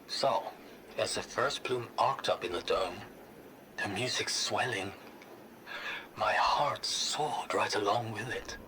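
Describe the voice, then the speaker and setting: A man voicing a wistful, reflective character in a video game monologue, with breathy, sentimental delivery. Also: on the low side, slow, long pauses, noisy background. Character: wistful man recalling his city's lost glory.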